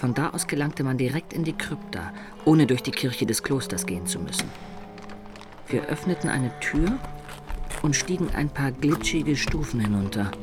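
A man narrating a dark adventure tale in the first person for a German radio play, measured, composed and literary. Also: medium pitch, animated, over music, close to an everyday voice. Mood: composed, mysterious.

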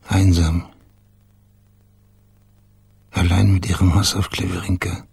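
An actor playing a dogged private investigator in a German radio crime drama, matter-of-fact and persistent, with a husky voice. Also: male voice, low voice, full character voice. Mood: brooding.

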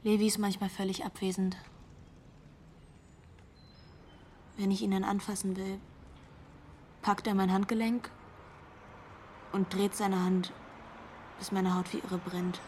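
A woman's voice: mid-range voice, flat delivery, moderate pace, long pauses, a little mellow, slight background noise, natural voice. An actress playing a frank, troubled young girlfriend giving testimony in a radio drama, blunt and confiding. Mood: uneasy, confiding. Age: young adult.

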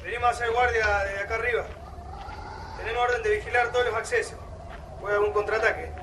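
A man's voice: sits high, little pitch movement, fast talker, close to an everyday voice. An actor playing a dutiful male resistance guard reporting for watch in a radio drama. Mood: urgent. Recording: distant voice over clear noise.

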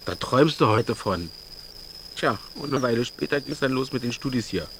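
An actor playing a colloquial 51-year-old longtime resident of a working-class quarter, chatting as an interviewee in a radio drama. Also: male voice, medium pitch, lively intonation, normal speed, noisy background, lightly acted. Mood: reminiscing. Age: 50s. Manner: colloquial, plain, reminiscing.

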